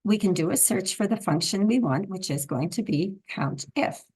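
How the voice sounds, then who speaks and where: A woman instructor with a froggy, hoarse voice, calmly explaining a software tutorial step by step. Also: medium pitch, normal speed, poor audio. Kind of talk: screen-recorded software tutorial.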